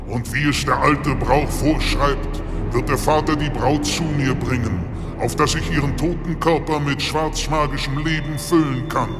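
Ein Schauspieler spielt in einem Horror-Hörspiel einen bedrohlichen Drachendämon, der langsam und feierlich als Herr eines Kults verkündet. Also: male voice, medium pitch, steady tone, lightly acted. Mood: solemn, menacing. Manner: grandiose, slow ritual proclamation. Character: menacing male dragon demon, solemn cult lord. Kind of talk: horror audio drama acting. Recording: reverberant vast hall. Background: loud music.

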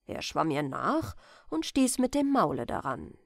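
Slow, animated woman reading fairy tales. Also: fairly low pitch, creaky, no echo, studio quality. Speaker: storyteller. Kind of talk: bedtime fairy-tale reading, scripted narration.

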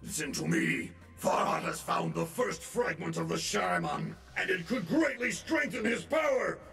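A commanding cartoon demon. The voice is heavily gravelled and forceful, cunning and scornful, with elaborate, menacing phrasing. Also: male voice, high-pitched, moderate pace, faint music behind, heavily acted. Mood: scornful, urgent. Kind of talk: animated villain acting.

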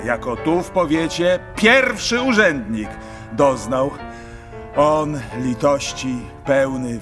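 Radio play role: wry returned exile, mid-pitched, declamatory, very unhurried. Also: male voice. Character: Polish former exile recounting Siberian hardship, wry. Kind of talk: theatrical verse radio drama.

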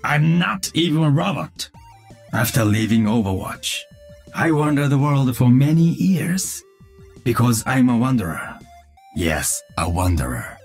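An actor playing a calm, earnest cyborg ninja with dry humour, speaking measured, solemn video game lines. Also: male voice, mid-range voice, breathy edge, faint music behind.

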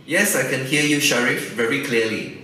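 A man's voice: medium pitch, normal speed, bright, forceful tone, far from the mic. A young man from Malaysia, a remote guest, gives formal, scripted-sounding answers from a small office room. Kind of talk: prepared answers on a live link. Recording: very echoey room from the mic, faint ambience.

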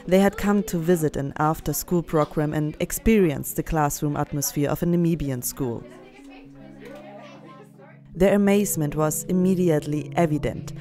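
Measured lowish woman narrator. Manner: measured, read-aloud. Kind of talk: documentary narration. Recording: music bed under the voice.

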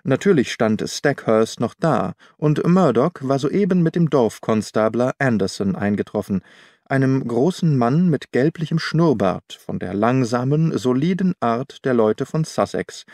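A man's voice: mid-range voice, darkish tone, dry recording. Man narrating an audiobook, dry studio sound. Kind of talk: scripted literary narration, first-person detective story.

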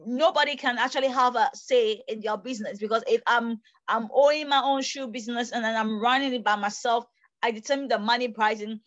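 A woman's voice: a little high, no room sound, lo-fi sound. A young woman from Ghana hosting an online panel, speaking earnestly from a small room and restating her points as she goes. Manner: animated, gesturing, restates and self-corrects as she goes. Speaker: host of an online panel discussion. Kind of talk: earnest debate remarks. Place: small bedroom indoors. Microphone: tablet camera on a video call.

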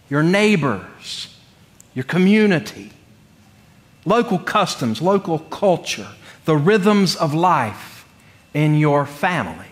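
A middle-aged man from North Carolina, preaching in a conversational, humorous storytelling way with deliberate pauses. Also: a little high. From North Carolina, United States. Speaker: pastor. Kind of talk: sermon with personal storytelling. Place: church sanctuary, speaking from the pulpit.

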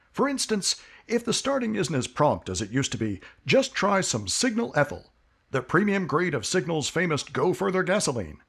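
A man's voice: fairly high pitch, a bit bright, slightly rough. A man reading a folksy, upbeat 1950s-style radio commercial as announcer.

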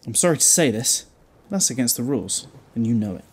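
A man's voice: mid-range voice, animated, fast talker, breathy edge. A British man playing a glib, protective time-travelling hero in an audio drama, wry and chatty with a scolding streak. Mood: stern. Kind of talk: fan audio drama acting.

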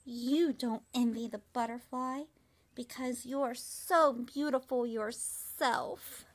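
A woman's voice: sits high, fairly even intonation, takes it slowly, voice pushed up. A woman voicing a sad, self-doubting little male cricket in a storybook, plaintive and wistful. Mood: envious, upset. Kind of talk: storybook character reading.

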